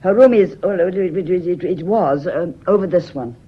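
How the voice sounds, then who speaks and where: Casting: prim, guarded British paid companion for a radio whodunnit, breathy-husky tone, dull muffled recording. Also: female voice, on the low side, normal speed. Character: prim, defensive lady's companion under police questioning. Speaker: radio drama actor. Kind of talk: British radio mystery play acting.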